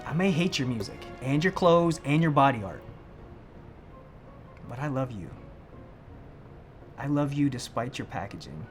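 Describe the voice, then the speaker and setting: A man acts a conventional, worry-prone fiancé from a comic short film, reasoning things out in earnest protest. His pitch sits in an ordinary middle male range. A little music plays behind him. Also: animated, measured pace, long pauses, lightly acted. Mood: earnest, hurt. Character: anxious, sensible, conventional fiancé with many phobias. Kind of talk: comedic short film dialogue.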